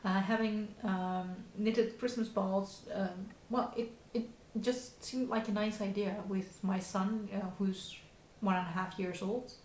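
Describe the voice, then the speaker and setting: A woman in her thirties speaks English with a Dutch accent, not her first language. She chats casually about her knitting, stopping often for fillers and restarts and laughing softly now and then. The room gives her voice a clear echo, with a faint hiss underneath. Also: mid-range voice, steady tone, unhurried. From Netherlands. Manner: hesitant, many fillers and restarts, frequent small laughs. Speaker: knitting podcast host. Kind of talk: casual show-and-tell chat.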